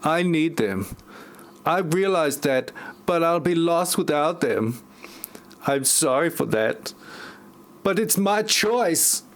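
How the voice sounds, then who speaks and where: A man's voice: on the high side, expressive, takes it slowly, pauses often. A man voicing a glum, pill-dependent woman with a stilted, robotic delivery in an amateur parody audio drama. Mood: resigned.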